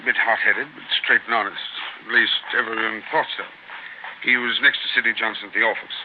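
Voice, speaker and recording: A man's voice: on the low side, fairly even intonation, a little fast, lightly acted. An actor playing a pompous, fussy British government man, formal and grave, in a 1940s radio drama. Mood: formal, briefing. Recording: muffled, noisy 1940s radio recording.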